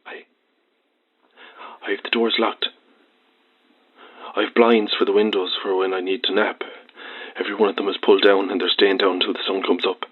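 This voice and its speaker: Scared Irish lorry driver, radio-play caller, stammering, rough deep voice, phone sound. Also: male voice, flat delivery. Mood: panicked. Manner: halting, stammering, rambling colloquial storytelling. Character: frightened, agitated male truck driver calling late-night radio. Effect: phone line. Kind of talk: audio drama acting. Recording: muffled, tinny phone line.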